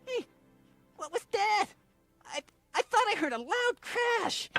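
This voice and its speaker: A woman's voice: very high voice, very animated, takes it slowly, a bit bright, soft background music, exaggerated voice. A woman voicing a lazy, jumpy little male dragonfly who frets and panics easily. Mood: panicked. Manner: panicky, fretful exclaiming.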